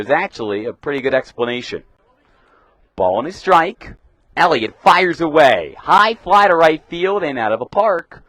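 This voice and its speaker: A man's voice: fairly bright tone, dry recording. Young male baseball play-by-play announcer. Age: young adult. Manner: crisp announcer delivery, punching up pitch calls. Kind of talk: live sports commentary.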